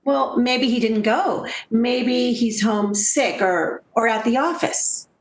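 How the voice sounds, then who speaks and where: A woman's voice: fairly high pitch, slow pace. An actress playing a sensible, worried woman in a 1940s radio drama, speaking with earnest, theatrical delivery. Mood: impatient, coaxing. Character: sensible, worried woman friend, haunted when narrating the past. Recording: echoing radio play recording with music behind.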